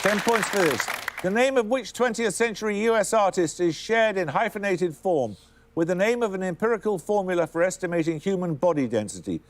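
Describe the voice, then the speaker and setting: A man hosting a televised quiz in a crisp, dry, formal manner, reading questions. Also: high-pitched, expressive, brisk, a faint crowd. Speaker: quiz show host.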